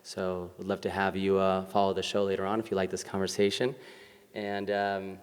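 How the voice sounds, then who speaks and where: A man's voice: low voice, monotone, moderate pace, smooth. A young man hosting a live podcast interview in a casual, upbeat way. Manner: casual, upbeat, uses fillers like "like". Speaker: podcast host. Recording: live stage with long reverb.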